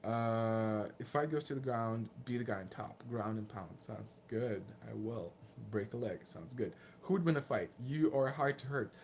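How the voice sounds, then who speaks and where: A man in his late twenties with a Lithuanian accent, casually answering viewer questions in a candid, self-deprecating, halting way. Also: medium pitch, a little mellow, a little hiss or hum. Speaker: martial arts vlogger and fighter.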